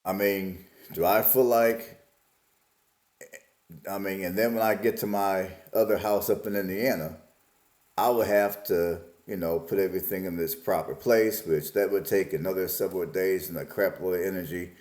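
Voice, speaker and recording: A man's voice: low voice, steady tone, moderate pace, a little mellow, roomy sound. A man in his forties from Alabama, musing aloud in a casual, plain-spoken vlog about his life. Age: 40s. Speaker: vlogger. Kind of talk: casual reflective monologue.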